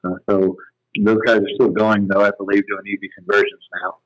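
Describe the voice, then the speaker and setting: A man telling his company's history as a phone-in podcast guest, in a relaxed, rambling way. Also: lowish voice, a little fast, no room sound, highs cut off. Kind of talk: casual storytelling interview.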